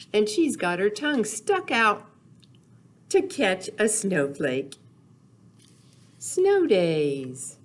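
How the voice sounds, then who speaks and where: A woman leading a storytime for young children, reading aloud and talking in simple, sing-song phrases. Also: mid-range voice. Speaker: children's library storytime host. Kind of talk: children's storytime with read-aloud, songs and questions.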